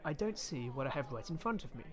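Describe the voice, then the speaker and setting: Animated, cheeky amateur detective played by a man. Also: medium pitch, fast, lightly acted. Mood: wry. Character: cheeky, deadpan-joking hapless amateur detective hero. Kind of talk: comedic audio drama acting.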